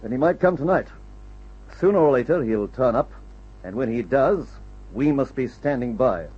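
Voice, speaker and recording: An actor playing a brisk, confident male flying adventurer who leads his team, in the style of a mid-century radio adventure serial. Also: mid-range voice, lively intonation, music in the background, natural voice. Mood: calm, decisive. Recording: muffled, dull old radio serial recording.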